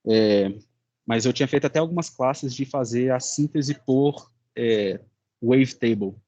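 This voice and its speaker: A young man speaks with a Brazilian Portuguese accent at a medium pitch. He explains his work like a student presenting, colloquial and a little halting. The sound is dry, with very little room in it. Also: mellow, no echo, dull audio. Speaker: student presenting a final project. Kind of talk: informal student presentation and discussion.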